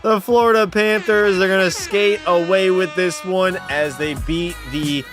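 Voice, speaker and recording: A young man from Canada talks in loose, profane banter while streaming a hockey video game. His voice sits a bit on the high side, and he reads out viewers' messages between plays. Faint game music plays underneath him. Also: fairly even intonation, a little slow, fairly bright tone. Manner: loose, profane banter, reading chat aloud. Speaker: gaming streamer. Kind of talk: casual live-stream commentary.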